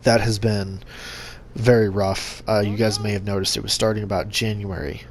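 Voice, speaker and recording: A young man hosting a gaming channel, talking casually to his subscribers in a monotone with lots of fillers and restarts. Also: on the low side, normal speed, a little hiss or hum. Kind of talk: casual vlog update to subscribers.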